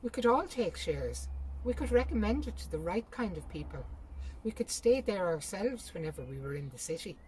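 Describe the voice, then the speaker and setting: An overbearing brother voiced by a woman reading a storybook. He is excitable and insistent, pitching up as he pushes his plans. Faint noise lies behind the voice. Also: normal speed, close to an everyday voice, poor audio. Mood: excited, enthusiastic.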